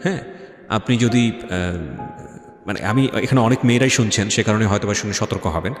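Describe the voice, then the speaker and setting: A man's voice: fairly low pitch, fairly quick. A man with a Bangladeshi accent hosts a radio interview, reflecting and advising, with English phrases mixed into his speech. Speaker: radio host. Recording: echoey reverb, faint music bed. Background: faint music.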